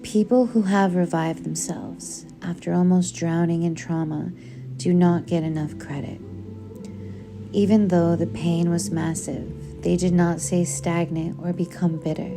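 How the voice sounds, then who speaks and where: A woman reading a book aloud in a soothing audiobook style, with measured, deliberate and gentle delivery over music. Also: fairly low pitch, steady tone. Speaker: book reader. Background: background music.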